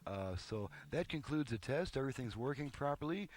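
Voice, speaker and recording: A man's voice: medium pitch, expressive, fairly quick, darkish tone, a little hiss or hum. A man giving a casual, hands-on demonstration as a repairman, explaining step by step in a plain-spoken, folksy way. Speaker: car radio repairman and presenter.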